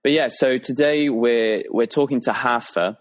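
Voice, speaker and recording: A man's voice: medium pitch, moderate pace. A young man from England hosting a podcast, asking easygoing questions in a casual interview. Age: young adult. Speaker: podcast host.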